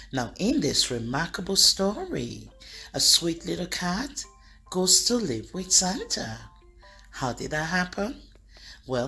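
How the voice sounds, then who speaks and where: Woman, children's storyteller, slow, lively pitch, low-ish voice, soft music behind. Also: vocal fry. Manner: slow, expressive, addresses kids directly. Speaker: children's book reader. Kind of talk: read-aloud storytelling for kids.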